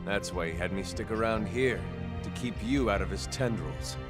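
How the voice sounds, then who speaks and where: A man plays a smug, bitter turncoat in a video game drama. His low voice stays cool and sardonic, each line set down deliberately over loud music. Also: natural voice. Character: calm, smug male traitor, bitter and resigned.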